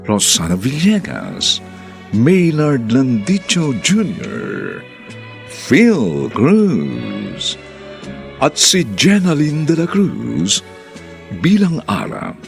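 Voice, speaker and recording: A man narrating and announcing a radio drama in a solemn, theatrical, deliberate scripted style. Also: mid-range voice, huge pitch swings, creaky, soft background music.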